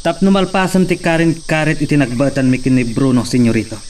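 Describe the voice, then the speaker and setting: A man's voice: mid-range voice, fairly even intonation, brisk, a little mellow, distant mic, slight background noise, close to an everyday voice. An actor playing a confident hacienda henchman in a radio drama, speaking firmly and respectfully with a northern Philippine accent. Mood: firm, composed. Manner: respectful, firm.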